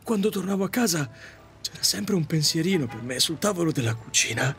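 A man playing a middle-aged widowed taxi driver in an audio drama, confessing his regrets in a halting, repetitive, self-reproaching way. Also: a little high, lively intonation, creaky, fairly bright tone, slight background noise. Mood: grieving, remorseful. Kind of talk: Italian audio drama monologue.

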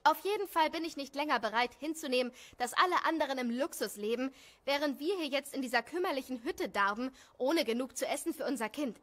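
A woman's voice: sits high, animated, a little fast, a bit bright. A woman playing a bossy, demanding young wife and mother in an audio story. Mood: insistent.

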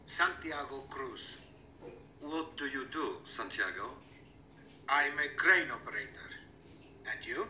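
A man's voice: medium pitch, expressive, slow, pauses often, pushed and bright, a little hiss or hum, full character voice. A man voicing a crane operator in a scripted course-book dialogue, speaking plainly and conversationally. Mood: friendly. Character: male crane operator from a big city. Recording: echoey audio played back in a room.

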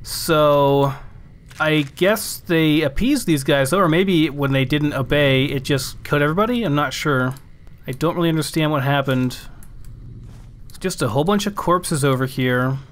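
A man's voice: fairly high pitch, normal speed. A man giving casual let's play commentary, thinking aloud as he plays.

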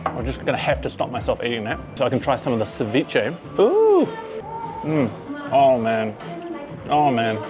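A man in his forties with a New Zealand accent, excitedly tasting food and touring rooftop bars, filmed on a handheld camera. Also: mid-range voice, moderate pace, lo-fi sound. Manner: enthusiastic, chatty, exclaims over food. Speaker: food vlogger. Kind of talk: casual food and travel vlog. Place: rooftop bars, outdoors and under cover. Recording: music playing behind.

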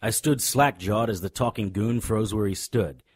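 A man's voice: on the low side, moderate pace, breathy edge, no room sound, studio quality. An actor playing a hard-boiled, wisecracking private detective, narrating a noir radio drama in a wry, tough-guy manner. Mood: wry narration.

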